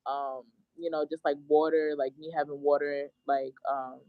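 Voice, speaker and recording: A man's voice: a little high, flat delivery, normal speed, dry recording. A man acting in a rehearsal, chatting informally about his role with lots of "like" and "you know". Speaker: actor in rehearsal. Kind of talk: casual chat about a role. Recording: dull, dry remote call audio.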